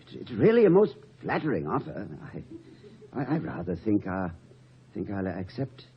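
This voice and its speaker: An actor playing a gentle, wry, middle-aged college president with a hesitant, wordy way of talking, in a 1950s radio drama. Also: male voice, on the low side, big pitch swings, normal speed. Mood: hesitant, downcast. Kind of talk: 1950s American radio comedy-drama acting. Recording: muffled, noisy old radio recording.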